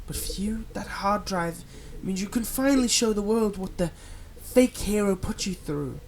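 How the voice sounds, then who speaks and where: A man playing a manipulative, soothing male villain in an ASMR roleplay, speaking in a soft, coaxing and dramatic way with deliberate pauses. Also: high voice, slight background noise. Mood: vengeful, intense. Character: manipulative, soothing male supervillain, tenderly possessive of his captive.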